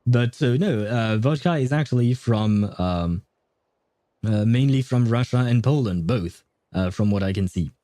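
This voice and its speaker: A man's voice: medium pitch, expressive, measured pace, warm tone, no room sound, clean studio sound. A young man chatting casually on a game livestream, thinking aloud and laughing often as he reads and reacts. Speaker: gaming livestreamer.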